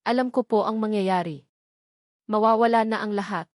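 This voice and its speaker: A woman's voice: medium pitch, normal speed, no echo, clean studio sound, natural voice. A woman voicing an anxious, struggling female business owner who speaks politely and emotionally in an audio drama. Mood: shaken.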